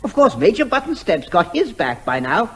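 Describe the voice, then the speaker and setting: An English actor playing a cheeky, unflappable out-of-work performer posing as a neighbour in a comic radio drama, jaunty and wryly genteel. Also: male voice, sits high, sing-song, normal speed, a bit bright, husky, full character voice. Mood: mock-genteel.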